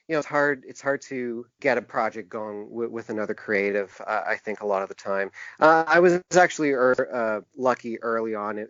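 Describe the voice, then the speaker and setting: A man answering interview questions in a halting, earnest way. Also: mid-range voice, fairly bright tone, dry recording. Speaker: interview guest; typographer and book designer. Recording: dull, narrow-band lo-fi sound.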